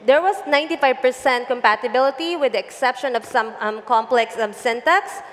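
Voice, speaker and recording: A woman in her thirties explaining a data project in a measured way, using a headset mic on a conference stage. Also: sits high, fairly bright tone, in a large hall. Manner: measured, explanatory, some fillers. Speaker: guest, data engineering lead. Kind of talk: onstage tech interview. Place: large conference stage before an audience.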